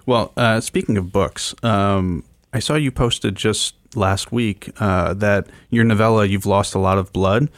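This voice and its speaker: A man hosting a casual radio interview, halting and often restarting his phrases mid-thought. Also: fairly low pitch, no echo, studio quality. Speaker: radio show host interviewing a guest.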